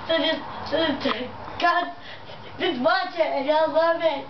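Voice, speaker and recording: High girly voice young woman rambling excitedly on homemade puppet show, muffled and noisy, far from mic. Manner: halting, rambling, enthusiastic. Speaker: amateur puppet show host. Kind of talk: improvised puppet show chatter. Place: room in a house. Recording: muffled, distant, noisy echoey home video.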